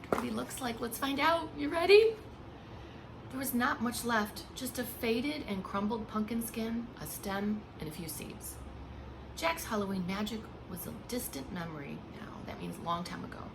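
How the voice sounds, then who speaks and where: A woman reading a picture book aloud like a preschool teacher, with expressive, hushed emphasis and simple asides to small children. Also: fairly high pitch, moderate pace, creaky, background noise. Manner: simple explanations and questions addressed to small children. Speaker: pre-K teacher.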